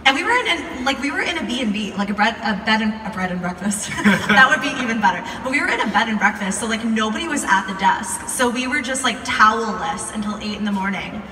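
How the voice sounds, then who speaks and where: A woman's voice: fairly high pitch, lively intonation, quick pace, hardly any pauses, bright, forceful tone. A young American woman tells a story casually to a live audience, chatty and informal, recorded from a distance in a large room. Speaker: video creator telling a story to a live audience. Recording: echoey hall, distant mic, some noise.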